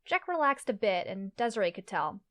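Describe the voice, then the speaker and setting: A woman's voice: mid-range voice, very animated, fairly quick, a bit bright. A woman reading scripted fantasy story narration as the narrator of an audio play.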